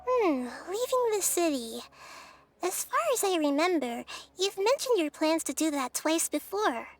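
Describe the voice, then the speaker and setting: A woman voicing a gentle, thoughtful little girl goddess in a video game, with a girlish voice and calm manner. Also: very high voice, measured pace, no room sound, soft background music, full character voice.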